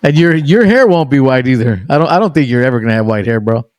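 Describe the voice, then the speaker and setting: A man's voice: medium pitch, expressive, quick pace, a little mellow, no echo. A man in his sixties hosting a podcast, chatting with a guest in a chummy, eager way. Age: 60s. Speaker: podcast host. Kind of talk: casual interview chat.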